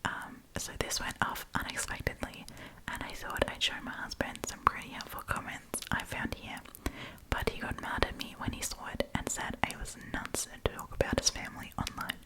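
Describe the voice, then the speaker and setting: A woman hosting an ASMR storytime, reading and chatting in a soft, breathy whisper with a gossipy, friendly manner. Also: normal speed, rough recording. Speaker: host of a storytime channel.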